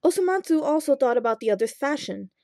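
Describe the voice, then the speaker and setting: A woman reading fan fiction aloud as an expressive scripted narration. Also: high voice, quick pace, no room sound, clean studio sound.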